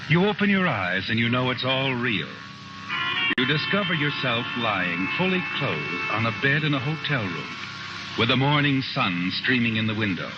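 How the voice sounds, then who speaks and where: An actor playing the Whistler, an eerie male narrator of a 1950s radio drama, speaking in an ominous, measured way. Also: mid-range voice, close to an everyday voice. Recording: dull vintage radio recording with music.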